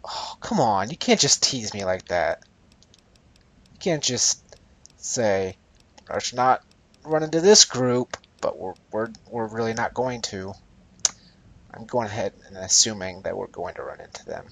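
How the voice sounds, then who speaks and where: Sarcastic raspy-voiced male game commentator. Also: animated, a little slow, long pauses, fairly bright tone. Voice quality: high, raspy voice. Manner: sarcastic, rambling asides. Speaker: gameplay commentator.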